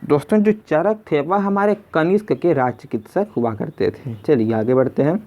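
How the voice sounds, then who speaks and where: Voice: medium pitch, normal speed, mellow. A tutor narrating a question-and-answer exam lesson, repeating questions and addressing listeners as friends. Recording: dull, lo-fi sound.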